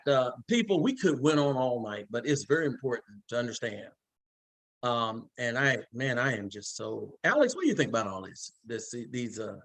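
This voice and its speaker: A man's voice: fairly high pitch, a bit bright, no echo. A man hosting the call, folksy and stammering as he talks. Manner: folksy, stammering, laughs readily. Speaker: host. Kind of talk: casual chat.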